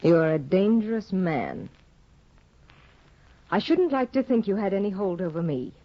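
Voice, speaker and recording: An actress playing a cold, disdainful aristocratic young wife in a 1950 radio drama, cool and clipped, on a dull old broadcast recording. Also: female voice, medium pitch, expressive, a little slow, no echo. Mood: cutting. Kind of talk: 1950s radio drama acting.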